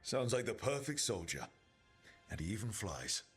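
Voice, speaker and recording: A man playing an easygoing, encouraging adventurer in a video game cutscene, with a raspy voice and a wry, reassuring manner. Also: lowish voice, very animated, normal speed, full character voice. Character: easygoing, encouraging male adventurer, trusted comrade. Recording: music behind.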